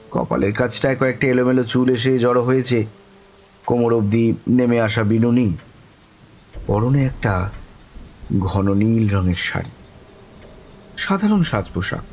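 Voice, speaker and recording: A man playing a courteous young man who narrates his own eerie encounter in a horror audio story, lingering and expressive. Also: lowish voice. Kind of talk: dramatic first-person horror story narration.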